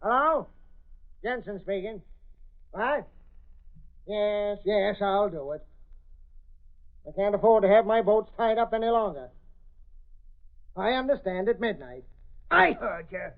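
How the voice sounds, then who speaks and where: An actor playing a blustering, suspicious shipping boss in old radio drama, gruff, argumentative and indignant. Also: male voice, high-pitched, expressive, measured pace, pauses often, phone-quality sound, exaggerated voice. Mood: grudging, defiant. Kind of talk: 1930s-40s radio drama acting. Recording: thin, faintly noisy old radio recording.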